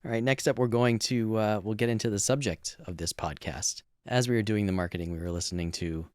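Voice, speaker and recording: Low-voiced man, podcast co-host. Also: fairly quick, darkish tone, no echo, clean studio sound. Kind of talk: casual co-hosted podcast discussion.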